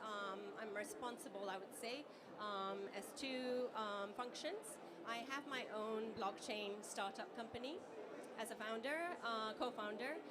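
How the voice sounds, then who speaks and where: A woman from Japan, a native Japanese speaker, speaking on a conference panel in a halting way as she searches for words. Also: on the high side, very noisy. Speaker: panelist, startup founder.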